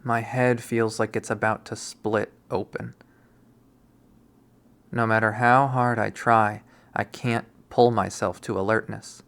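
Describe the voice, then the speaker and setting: A man's voice: lowish voice, level tone, slow, gravelly, a bit bright. A young man reading a visual novel aloud in first person, with a dry, wry delivery.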